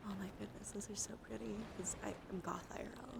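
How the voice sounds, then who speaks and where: Female ASMR streamer: soothing, intimate, partly whispered, fry in the voice, meandering chat, noticeable noise on the recording. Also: medium pitch, fairly even intonation, fast. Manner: soft-spoken, soothing, meandering with gentle repetition.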